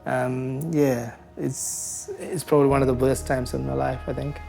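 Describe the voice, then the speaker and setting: Sri Lankan man, interviewee, gravelly, flat delivery, halting with fillers, music bed under him. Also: mid-range voice. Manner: hesitant, many ums and uhs. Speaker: interviewee, community advocate. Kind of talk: recorded interview, reflective.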